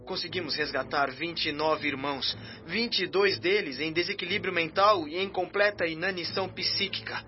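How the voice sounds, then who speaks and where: An actor playing an urgent rescue-team messenger, declaiming a formal report in a radio drama. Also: male voice, on the high side, moderate pace, hardly any pauses, close to an everyday voice. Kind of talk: radio dispatch announcement, dramatized. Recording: dull lo-fi radio drama with music.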